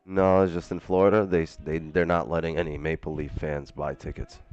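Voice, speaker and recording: A man's voice: very deep voice, level tone, brisk, darkish tone, clean tone, muffled recording. A man from Brooklyn, New York, hosting a live trading stream and rambling casually with viewers and callers, saying "like" a lot. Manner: rambling, chatty, says "like" often. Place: home, living in Miami.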